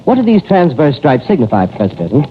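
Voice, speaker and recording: A formal 1930s radio reporter, tinny recording. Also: male voice, a little high, wildly swooping pitch, fast talker, a little hiss or hum. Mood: formal, inquiring. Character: eager, courteous male radio news commentator on location. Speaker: radio commentator. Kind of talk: 1930s radio drama live-broadcast reporting.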